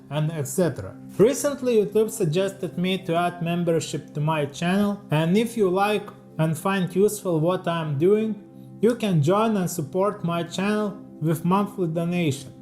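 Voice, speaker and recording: High-voiced Lithuanian man reading a script. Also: a little mellow. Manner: careful, slightly halting non-native English. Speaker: leathercraft YouTuber. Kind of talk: scripted voice-over read from a teleprompter.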